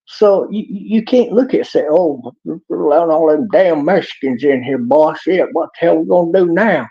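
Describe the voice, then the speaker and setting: A man's voice: a little high, lively intonation, normal speed, vocal fry. A man with a Texas accent giving a rambling, preachy monologue in a folksy drawl. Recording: dull lo-fi clip.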